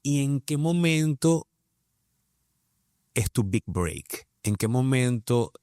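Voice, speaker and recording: A man's voice: mid-range voice, expressive, takes it slowly, mellow, no room sound, clean studio sound. A man hosting an interview, asking eager questions and reacting expressively. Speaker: interviewer host.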